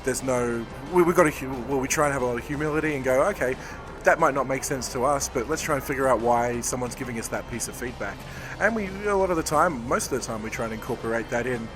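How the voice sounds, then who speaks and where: A man, a game developer, hoarse from talking all day, answering interview questions in a rambling, thoughtful way. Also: mid-range voice, quick pace, music in the background. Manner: rambling, self-correcting, thoughtful. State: hoarse, losing his voice. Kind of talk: convention floor interview. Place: busy convention floor.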